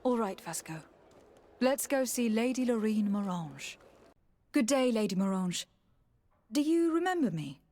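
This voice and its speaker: A woman's voice: on the high side, big pitch swings, a little slow, pauses often, darkish tone, no echo. An actress playing a poised, courteous young noblewoman diplomat in a video game, speaking formally and reassuringly. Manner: polite, composed, formal. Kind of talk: scripted video game dialogue.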